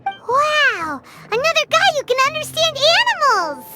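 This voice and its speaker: A woman voicing a tiny, cheeky, skeptical fairy companion in a video game, with a squeaky childlike voice. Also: big pitch swings, measured pace, heavily acted. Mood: amazed. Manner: speaks of self in third person. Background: background music.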